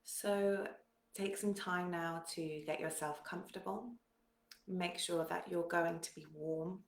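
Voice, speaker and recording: A woman leading a guided meditation in a calm, soothing manner, giving gentle instructions with long pauses. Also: fairly low pitch, roomy sound.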